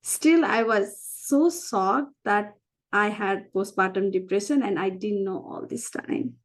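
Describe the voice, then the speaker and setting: A woman's voice: medium pitch, takes it slowly. A woman from Nepal with a Nepali accent, giving a candid, conversational personal account as an interview guest, repeating phrases for emphasis. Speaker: interview guest, physician and founder.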